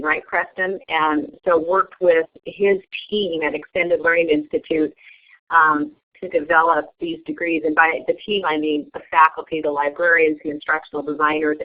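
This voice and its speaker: A woman hosting an online meeting, presenting with frequent fillers and restarts. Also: fairly low pitch. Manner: hesitant, many fillers. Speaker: webinar host. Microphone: web conference audio. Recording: tinny phone-like line.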